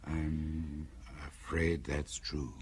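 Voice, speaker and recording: A man acting in a radio drama as a middle-aged confidant, sympathetic and gently chiding in conversation. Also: very deep voice, flat delivery, very slow, a little hiss or hum, full character voice.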